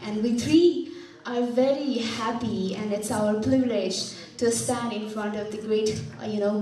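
A woman's voice: fairly high pitch, mellow. Young Nepali woman, earnest conference speech. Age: young adult. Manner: earnest, halting with fillers. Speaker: student presenter. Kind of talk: formal welcome speech. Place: conference hall. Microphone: handheld mic. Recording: echoey hall from far off.

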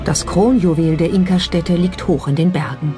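Documentary narrator over clear background music. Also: medium pitch, normal speed. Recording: music under the voice.

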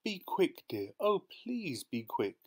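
A man's voice: medium pitch, animated, brisk, pitched above the performer's own voice, close to an everyday voice. A man voicing an anxious, flustered wife who pleads politely and hurriedly, as a storybook character. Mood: pleading. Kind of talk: audiobook character voice.

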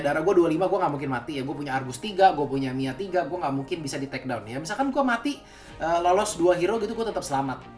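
A young man giving casual, slangy gameplay commentary, explaining things as he goes and often addressing his viewers. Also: on the high side. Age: young adult. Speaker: gaming video creator and commentator. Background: music.

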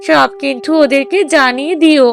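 A woman's voice: high-pitched, steady tone, moderate pace, gravelly, noisy background. A woman playing a middle-aged village mother in a cartoon drama, coaxing in a fretful, affectionate way. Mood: agreeable, inquisitive.

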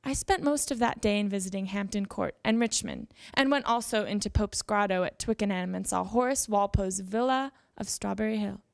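A young woman giving a measured, formal dramatic reading of letters aloud into a handheld microphone. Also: medium pitch, creaky, no echo, clean studio sound. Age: young adult. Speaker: dramatic reader at a library event. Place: indoor meeting room with audience.

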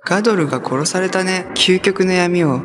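A man's voice: high voice, normal speed, background noise, natural voice. An actor playing an eerie, childlike young male villain in a TV drama, serenely sadistic. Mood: calmly menacing. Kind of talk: live-action TV drama acting. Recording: TV soundtrack with music behind.

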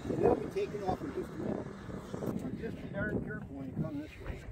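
A man's voice: very high voice, wildly swooping pitch, fast, over a noisy crowd. A man in his seventies chatting plainly about the repair work he is doing on a hangar roof outdoors, picked up by a camera some way off. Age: 70s. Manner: plainspoken, explains his handiwork while working outdoors. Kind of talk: casual chat while working. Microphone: filmer's camera some distance away.

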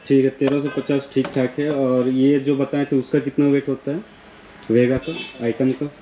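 A man's voice: mid-range voice, level tone, normal speed. A man casually asking questions and acknowledging the answers, as a vlogger interviewing shop staff. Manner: inquisitive, repeatedly acknowledging answers. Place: small helmet shop indoors, glass front on busy street. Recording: clear background noise, clicks.

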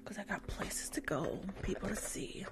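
A woman's voice: medium pitch, normal speed, close to an everyday voice. A young woman playing a rude, impatient, sassy nail technician in a whispered ASMR roleplay, close to the microphone. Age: young adult. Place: small decorated room indoors. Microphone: fluffy-covered microphone, close up.

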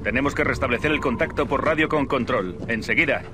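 Brisk squad officer for an action game, male actor; rapid, formal, middle register, clear background noise. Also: medium pitch, natural voice. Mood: businesslike. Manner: formal military briefing. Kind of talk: video game acting.

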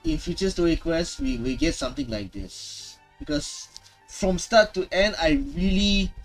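A man's voice: on the high side, expressive, measured pace, soft background music. A man giving casual gaming reaction commentary, emphatic and often repeating his words and phrases. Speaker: gaming streamer.

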